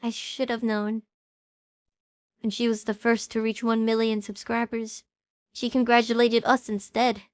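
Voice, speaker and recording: A woman's voice: fairly high pitch, level tone, measured pace, no echo, clean studio sound, lightly acted. Woman acting earnest, worried young female streamer. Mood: regretful, earnest. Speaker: voice actor in fan audio drama. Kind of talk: audio drama acting.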